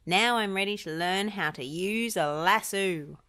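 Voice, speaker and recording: A woman's voice: mid-range voice, measured pace, pushed and bright, no room sound. A woman reading a children's storybook, voicing an eager, boastful, clumsy male cartoon dog with exclamatory delivery.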